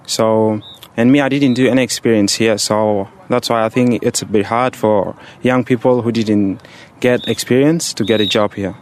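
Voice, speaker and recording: A man's voice: fairly low pitch, steady tone, normal speed, a little mellow, slight background noise. A seventeen-year-old young man from Egypt answering interview questions in a casual, plain-spoken and hesitant way. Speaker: student on a referee course, interviewee.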